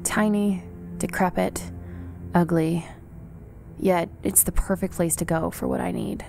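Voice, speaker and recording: Young dystopian runaway, guarded and defiant, lowish register, radio play with soft music bed. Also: female voice, steady tone, unhurried, creaky. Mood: dry, guarded. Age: young adult. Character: young cyberpunk rebel woman, wary and defiant. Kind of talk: radio drama acting with narration.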